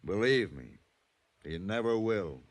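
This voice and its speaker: A man's voice: on the low side, lively intonation, slow, loud music behind the voice. A man playing a wealthy, guarded, domineering businessman and father with a curt, controlled manner in an old-time radio drama. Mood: stern, scornful. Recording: noisy vintage radio recording with clicks.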